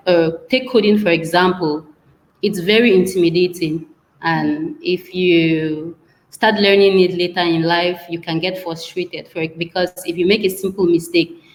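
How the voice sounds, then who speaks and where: A woman speaking on an online panel, her voice sitting on the lower side. She explains things steadily and thoughtfully, pausing with small "uh"s between ideas. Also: low-quality recording. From Cameroon. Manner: measured, explanatory, with frequent "uh" pauses. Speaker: panelist, tech mentor. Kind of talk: panel discussion.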